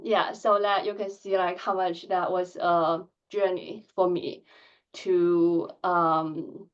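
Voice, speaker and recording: A woman in her thirties who stutters, giving a candid conference talk with blocks and filled pauses. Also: fairly low pitch, lo-fi sound. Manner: soft-spoken, candid, measured. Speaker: conference speaker. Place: conference hall.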